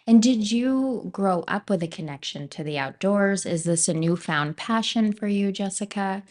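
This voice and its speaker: A woman's voice: mid-range voice, expressive, normal speed, a little mellow. A woman hosting a podcast interview, asking questions and affirming her guest. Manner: encouraging, frequent affirming murmurs. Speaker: podcast host. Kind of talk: casual interview conversation.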